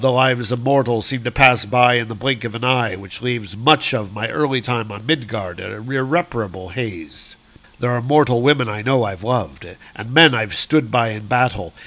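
A man's voice: mid-range voice, fairly bright tone, poor audio. A man voicing a proud, grave warrior god of thunder with declamatory, heroic speech for a comic-book story.

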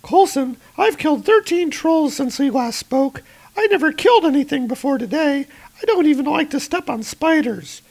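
A man voicing a shaken but tough young woman agent from a comic, in a high falsetto, loud and fast. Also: animated. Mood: frantic.

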